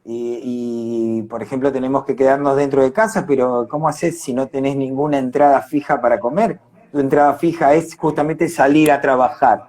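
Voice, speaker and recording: A man's voice: mid-range voice, steady tone, normal speed, darkish tone. An Argentine man speaking earnestly and discursively in a reflective live online conversation. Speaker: independent arts worker.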